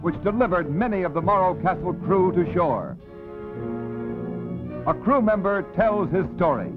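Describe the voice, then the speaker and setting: A male newsreel narrator from the 1930s, pitched high and heard through a thin, tinny old soundtrack. He declaims his script with solemn drama while music plays prominently behind him. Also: very animated, measured pace.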